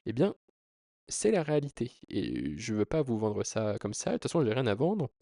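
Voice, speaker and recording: A man's voice: mid-range voice, huge pitch swings, normal speed, gravelly, mellow, no echo, clean studio sound. A man speaking as a video creator, explaining informally to viewers in an earnest, persuasive way with emphatic phrasing. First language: French. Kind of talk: informal explanatory talk to camera.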